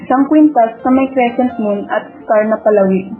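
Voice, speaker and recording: A woman's voice: on the high side, normal speed, lightly acted. A woman with a Filipino accent playing a wistful, lovestruck young student who narrates her dreams in a radio drama. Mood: wondering, tender. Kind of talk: first-person radio drama narration and dialogue. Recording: dull lo-fi audio, music bed. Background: background music.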